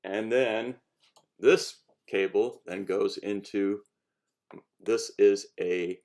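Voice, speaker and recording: A man casually explaining a homemade project in a halting, unscripted how-to style, rephrasing as he goes. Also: lowish voice, darkish tone. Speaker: hobbyist cosplay maker giving a demonstration.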